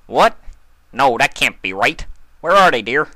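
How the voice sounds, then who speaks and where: A man voicing a big, hearty male unicorn, a former athlete and uncle, loud and forthright, in a cartoon fan story. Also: medium pitch, big pitch swings, a little slow, no echo, full character voice. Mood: alarmed, decisive.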